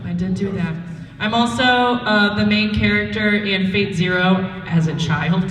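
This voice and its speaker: Lady on a con panel telling dirty self-mocking stories, swears a lot, high-ish for a woman but reads lower in a roomy hall. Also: unhurried, a bit bright. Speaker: voice actor, convention panelist. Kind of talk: casual comic anecdotes on a live panel. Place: convention panel room. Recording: echoey hall, distant mic, background noise.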